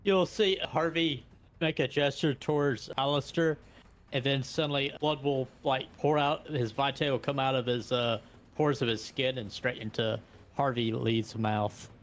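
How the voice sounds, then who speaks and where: A man's voice: medium pitch, fairly even intonation, a little slow. A man chatting casually as a player in a tabletop roleplaying game. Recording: faintly noisy livestream audio.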